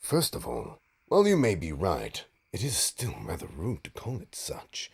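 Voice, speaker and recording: A man playing a suave, smug supervillain in an audio roleplay, teasing his nemesis with a pompous, mock-polite drawl and dramatic pauses. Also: deep voice, huge pitch swings, exaggerated voice. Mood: haughty, evasive. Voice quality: gravelly, husky. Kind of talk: audio roleplay monologue addressed to listener.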